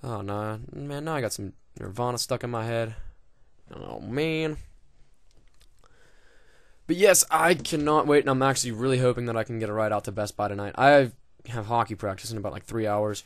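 A man's voice: medium pitch, normal speed, no room sound. A young man casually commenting on his game, rambling and joking with lots of swearing and fillers. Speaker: gaming video host.

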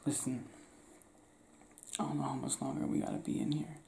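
Soft-whispering young man, gravelly. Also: medium pitch, huge pitch swings, fairly quick, a little hiss or hum. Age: young adult.

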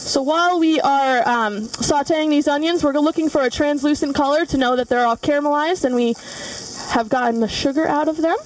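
A woman's voice: high voice, fairly quick, slight background noise. A young woman giving a cooking demonstration in a large studio kitchen, explaining each step with frequent fillers. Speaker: culinary student demonstrator. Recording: voice from far off the mic.

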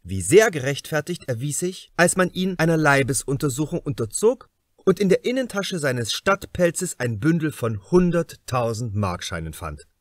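Very lively male audiobook narrator. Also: on the high side, normal speed, no echo, studio quality. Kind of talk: scripted narration of a crime novel.

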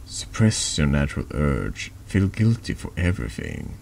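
Man playing a very deep, brooding confessor. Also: big pitch swings, gravelly, darkish tone, slight background noise, heavily acted, low-quality recording. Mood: bitter, guarded. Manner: measured, brooding, polite. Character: troubled, cynical, secretive man in a confessional.

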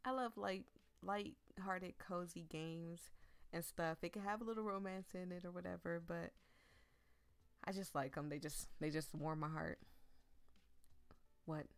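A woman's voice: fairly low pitch, fairly even intonation, normal speed, smooth. A woman hosting a gaming video, reading a visual novel aloud with affectionate, gushing commentary.

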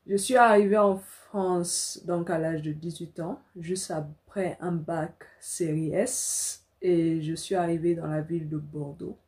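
A woman's voice: fairly low pitch, steady tone, takes it slowly, darkish tone. A young adult woman from Africa telling a personal story casually to camera as a vlogger, indoors in a small room.

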